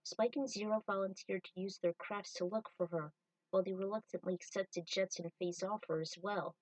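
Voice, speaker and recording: A woman narrates a fan-written story as its storyteller, at a medium pitch. She sits slightly away from the microphone, with little room sound around her. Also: fairly even intonation, normal speed, no room sound, distant mic, rough recording.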